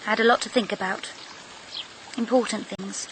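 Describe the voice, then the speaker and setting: An actress playing a cool, sharp-witted British stage actress and wife in a radio mystery, dry, frank and offhand. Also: female voice, medium pitch, fairly even intonation, normal speed, background noise, lightly acted. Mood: pensive.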